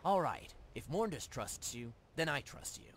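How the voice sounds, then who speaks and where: An actor playing a shady, businesslike thief boss in a video game, talking in a sly, deliberate, conspiratorial way. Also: male voice, a little high, sing-song. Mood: scheming, assured. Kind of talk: video game character dialogue. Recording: noisy ambience behind the voice.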